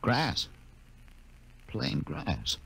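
An actor playing a bitter, sardonic doctor in a 1950s radio drama, deliberate, with a gravelly, husky voice. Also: male voice, mid-range voice, wildly swooping pitch, fairly bright tone, exaggerated voice. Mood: dryly curious. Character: bitter, sardonic male doctor, uneasy loner.

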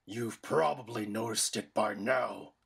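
A man playing a boastful, menacing pirate villain in an anime fan dub, with a gravelly, growling, theatrical delivery. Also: on the low side, measured pace, fairly bright tone, exaggerated voice. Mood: ominous. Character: gleeful, boastful hulking pirate villain wielding darkness.

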